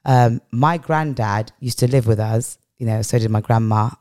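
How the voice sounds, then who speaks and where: A woman's voice: rumbling low, normal speed, mellow, dry recording, clean studio sound. Emphatic British woman with a powerful voice. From England. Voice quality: strong, powerful voice. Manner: emphatic, repeating phrases for weight. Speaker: podcast guest. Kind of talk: reflective personal storytelling in an interview.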